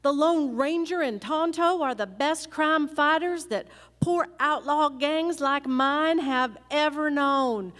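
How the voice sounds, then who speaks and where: Ringing in a big hall, a defiant Texan outlaw widow in a western radio play. Her voice is high and she takes her time, proud and bitter. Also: slow pace, fairly bright tone. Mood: scornful. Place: large performing arts hall, on stage. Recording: echoey hall, live stage performance.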